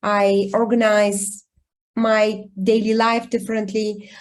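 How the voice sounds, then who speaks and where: A woman from Bulgaria, speaking English as a guest in an online talk, telling a personal story thoughtfully and pausing often with ums. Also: mid-range voice, flat delivery. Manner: reflective, thoughtful, with frequent pauses and ums. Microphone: video call.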